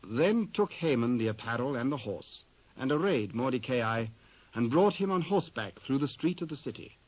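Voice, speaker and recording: A man's voice: mid-range voice, lively intonation, moderate pace, no room sound. British male narrator, muffled recording. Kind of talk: scripted scripture narration. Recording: dull lo-fi cassette audio.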